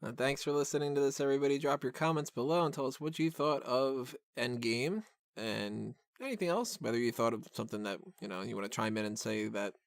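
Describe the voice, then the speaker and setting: A man's voice: medium pitch, a little fast, vocal fry, no room sound. A man hosting a casual film review podcast, talking in a rambling, self-deprecating way into a close microphone. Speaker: podcast host.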